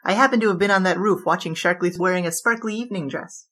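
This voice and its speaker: A woman's voice: lowish voice, fairly bright tone. Grandiose boastful bandit queen. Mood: theatrical. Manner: theatrical, grandiose, quick-witted. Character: boastful flamboyant female bandit king. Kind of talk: audio drama acting.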